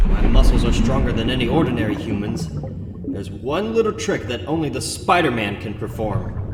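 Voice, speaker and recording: Man playing an animated, plucky young superhero. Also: mid-range voice, noisy background, close to an everyday voice. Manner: animated, talking to himself. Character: young wisecracking superhero photographer, self-critical but plucky. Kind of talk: comic book dramatic reading. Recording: echoey, long reverb, music underneath.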